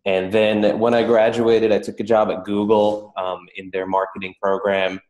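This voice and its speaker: Deep-voiced man, echoey room. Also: little pitch movement, normal speed, darkish tone, muffled recording. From Czech Republic. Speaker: interview guest, startup founder. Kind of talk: casual, reflective interview talk.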